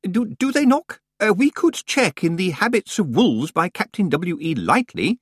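A man's voice: sits high, sing-song, moderate pace, slightly rough, dry recording, studio quality, pitched above the performer's own voice, full character voice. A man voicing a nervous, fussy senior librarian who stammers and hesitates. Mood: flustered.

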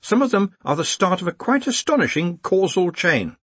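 A man's voice: high-pitched, big pitch swings, normal speed, a bit bright, breathy edge, no echo, voice pushed up, heavily acted. Man acting a harried, officious young-sounding wizard organiser. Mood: exasperated explaining. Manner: officious, explaining, urgent. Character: harried, orderly wizard organiser, earnest and anxious for tidiness.

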